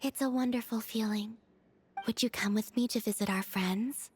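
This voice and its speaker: A woman voicing a serene, polite young moon maiden in a video game, with a soft, airy voice and a gentle, measured, formal way of speaking. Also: on the high side, steady tone, a little hiss or hum, natural voice. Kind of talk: scripted video game voice acting. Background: faint music.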